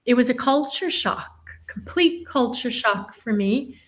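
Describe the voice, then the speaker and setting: A woman's voice: on the high side, expressive, measured pace. A woman from Ireland, telling her life story in an earnest, reflective way as an interview guest. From Northern Ireland.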